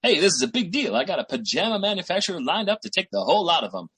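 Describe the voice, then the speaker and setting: An actor playing a boastful, slangy small-time schemer boyfriend in 1940s radio sitcom style, with a raspy voice. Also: male voice, high-pitched, sing-song, racing, a bit bright, heavily acted. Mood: boastful, excited. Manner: slangy, bragging, theatrical hustler patter.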